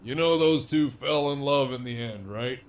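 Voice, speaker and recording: A man's voice: mid-range voice, normal speed, close to an everyday voice. A man playing a cocky, menacing, wryly amused imprisoned gang leader in an audio drama, with a swaggering, foul-mouthed drawl. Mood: cocky, teasing.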